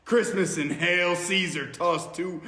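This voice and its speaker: A man's voice: high-pitched, fairly even intonation, slow, sharp tone. A man reading an action film script aloud theatrically, stumbling over words now and then. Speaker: podcast host reading narration aloud. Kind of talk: dramatic read-aloud of an action film script among friends. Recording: echoey reverberant room, faint noise.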